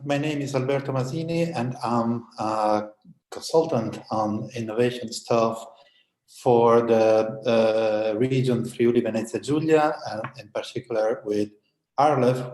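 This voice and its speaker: A man whose first language is Italian speaks on a conference panel, his voice dark and mellow. He talks hesitantly, stopping for fillers and restarting his sentences. The room around him is noticeably echoey. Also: mid-range voice. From Italy. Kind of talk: conference talk, online panel.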